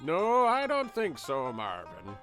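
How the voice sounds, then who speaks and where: A man's voice: on the high side, huge pitch swings, slow pace, a bit bright, soft background music, exaggerated voice. A man playing a loathsome, blustering small-town lawman who talks with pompous mockery in a comic radio drama. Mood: sneering, blustering. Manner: pompous, mocking.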